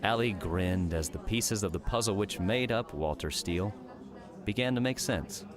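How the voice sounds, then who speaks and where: A man narrating a scripted audiobook story in a measured, dramatic storytelling manner. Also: fairly low pitch, music in the background.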